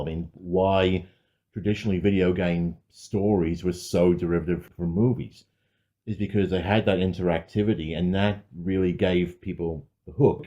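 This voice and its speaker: A man's voice: sits low, dark tone. A man co-hosting a podcast and discussing things in a casual, conversational style. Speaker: podcast co-host.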